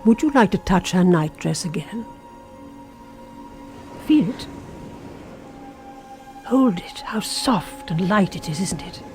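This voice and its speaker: A woman's voice: on the low side, lively intonation, slow pace, pauses often, a little mellow, faint music behind. An actress playing a sinister, obsessive housekeeper in a radio drama, insinuating and intimate, lingering on her phrases.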